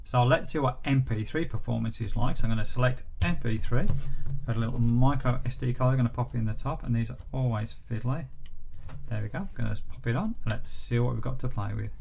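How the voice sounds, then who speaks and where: A man presents a casual hands-on product review in a creaky, gravelly voice. He narrates each step as he goes. A faint noise sits behind him. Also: medium pitch, brisk, a little mellow. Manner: step-by-step running commentary.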